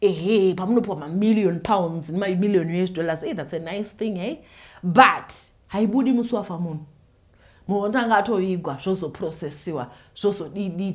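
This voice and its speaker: Fast-talking Zimbabwean woman, animated. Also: mid-range voice. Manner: animated, mixes Shona and English, laughs often. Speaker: YouTube host. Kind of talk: casual chat to viewers.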